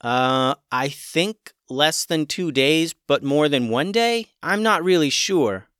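A man's voice: on the high side, animated, slow, a bit bright, clean tone, dry recording, studio quality, voice pushed up. A man voicing an earnest young boy who stammers, in a storybook reading. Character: eager young boy, earnest, easily amazed.

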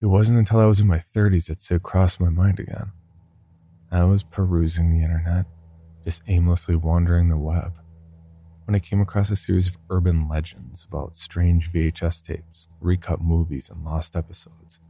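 Deep, dark-voiced man narrating horror. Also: fairly even intonation, normal speed, slightly rough. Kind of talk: scripted first-person horror story narration.

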